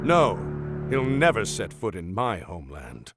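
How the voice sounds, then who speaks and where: A man's voice: wildly swooping pitch, a little slow, music in the background, full character voice. A man playing a noble, commanding warrior leader in a video game cutscene. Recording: noisy low-quality game capture.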